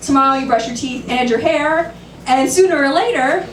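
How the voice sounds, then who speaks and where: A woman in her thirties doing a dry, self-deprecating stand-up set, sometimes shrill and laughing at her own jokes. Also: moderate pace. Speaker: stand-up comedian. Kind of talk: stand-up set at an open mic. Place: comedy club stage. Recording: roomy, distant, noisy club recording.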